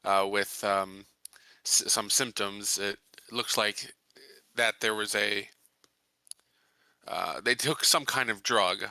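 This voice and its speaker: A man playing a tabletop game, talking casually and improvising his character's words haltingly as he thinks aloud. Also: on the low side, fairly even intonation, sharp tone, smooth, no echo. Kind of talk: casual improvised roleplay chat.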